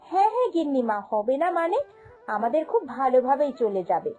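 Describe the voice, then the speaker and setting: A woman's voice: high voice, big pitch swings, brisk, dark tone, soft background music. A woman voicing a humble, honest young female shop servant in a cartoon, speaking earnestly and plaintively. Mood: curious, deferential. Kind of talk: cartoon fairy-tale voice acting.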